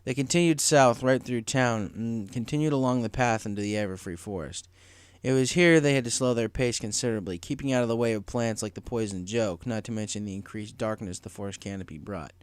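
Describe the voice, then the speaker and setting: A man's voice: medium pitch, brisk, smooth, dry recording. A man reading a scripted story aloud as its narrator. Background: music.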